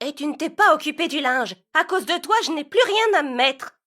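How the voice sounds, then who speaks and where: A woman playing a spoiled, rude young stepsister in a children's storybook, whining and demanding in a shrill way. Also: expressive, fairly quick, pushed and bright. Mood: scolding.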